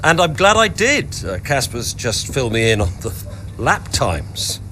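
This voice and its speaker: A man playing an imperious older businessman and team owner in a video game drama, measured and commanding. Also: mid-range voice, big pitch swings, bright, forceful tone, slight background noise. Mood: condescending approval. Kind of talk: cinematic video game acting.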